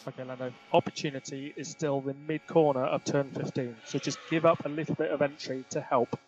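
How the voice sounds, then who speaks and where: A man's voice: mid-range voice, fairly even intonation, brisk, noisy background. A man working as a race engineer, giving terse, matter-of-fact instructions to a driver over team radio. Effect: team radio transmission. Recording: muffled team radio channel.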